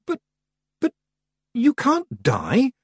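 Man as young apprentice witch girl, high voice, earnest, outspoken, direct, dry audiobook recording. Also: wildly swooping pitch, moderate pace, husky, no room sound, full character voice. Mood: alarmed. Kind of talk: audiobook character voice.

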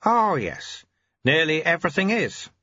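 Amiable little old sweeper-monk, unhurried and teasing, put on by a male narrator; slightly husky, dry sound. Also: on the high side, very animated, pushed and bright, no room sound, dull audio, pitched above the performer's own voice, heavily acted. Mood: amused.

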